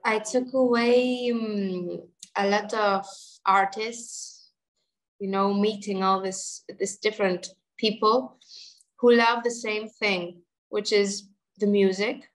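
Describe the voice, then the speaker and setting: A young woman singer, answering interview questions in a halting way, often restarting and searching for words. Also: mid-range voice, fairly even intonation, low-quality recording. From Germany and Greece.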